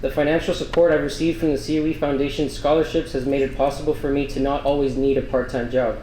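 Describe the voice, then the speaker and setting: A man's voice: medium pitch, fast, slight background noise. A young man from Miami, Florida giving an earnest, grateful prepared speech as a scholarship student at a breakfast event. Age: young adult. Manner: earnest, grateful, steady delivery. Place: large banquet room. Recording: echoey hall, faint ambience.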